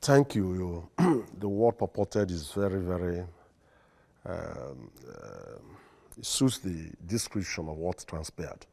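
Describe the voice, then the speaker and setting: A man with a Nigerian accent, a lawyer and guest on a studio interview, speaking in a formal, deliberate and emphatic way. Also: deep voice, big pitch swings, creaky, warm tone, slightly rough. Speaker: guest, lawyer and secretary general.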